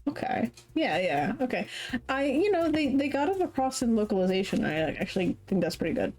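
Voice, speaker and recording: A woman streamer chatting casually while playing a game, laughing often and filling pauses with "like". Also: on the high side, very animated, a little mellow, faint music behind. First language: English. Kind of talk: casual gameplay commentary.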